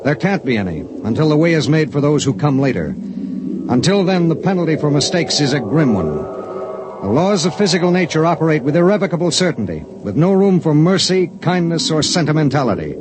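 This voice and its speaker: An actor playing a spaceship pilot in a 1950s radio drama, narrating gravely in the first person. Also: male voice, fairly high pitch, very animated, a little fast, noisy background. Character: spaceship pilot, steady and matter-of-fact. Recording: vintage radio broadcast with music beneath.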